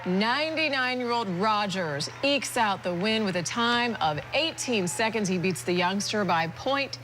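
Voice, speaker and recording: A woman's voice: medium pitch, animated, measured pace, a bit bright, slight background noise. An American woman presenting news items as a television anchor.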